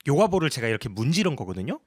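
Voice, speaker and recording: A man's voice: mid-range voice, big pitch swings, rapid-fire, husky, no echo, clean studio sound. A man narrating a tutorial as a sound designer, explaining his process in a polite way.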